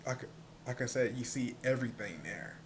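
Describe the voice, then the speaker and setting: A man with a soft voice, stuttering and repeating words as he talks casually to the camera in a personal vlog. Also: medium pitch, lively intonation, a little hiss or hum. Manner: stuttering, halting with many repeated words and fillers. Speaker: vlogger.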